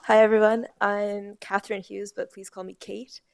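A woman's voice: mid-range voice, little pitch movement, moderate pace, gravelly, very noisy. A woman introducing herself to a group in a friendly, lightly joking way. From New Brunswick, Canada.

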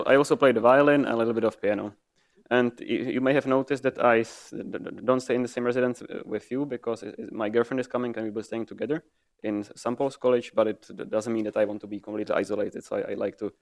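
A man's voice: medium pitch, steady tone, quick pace. A man introducing himself to a group, often repeating words as he talks. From Czech Republic.

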